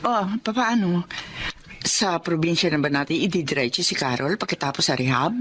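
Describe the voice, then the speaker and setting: A woman's voice: low voice, animated, moderate pace, fairly bright tone, a little hiss or hum. A woman with a Filipino accent playing an anxious, emotional middle-aged wife and mother in a radio drama, plaintive in manner. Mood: relieved.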